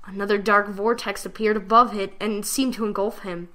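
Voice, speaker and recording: A woman giving a dramatic reading of a fan fiction story, with expressive delivery. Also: medium pitch, moderate pace.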